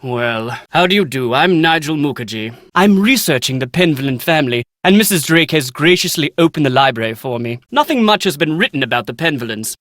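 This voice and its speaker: An actor playing a pompous, excitable British scholar and would-be author in a video game, speaking in bookish, theatrical diction. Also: male voice, a little high, brisk, few pauses, no room sound, studio quality. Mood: affable, wry. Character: Nigel Mukherjee, pompous, excitable British male scholar writing family history. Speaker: researcher and aspiring author. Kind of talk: video game character dialogue.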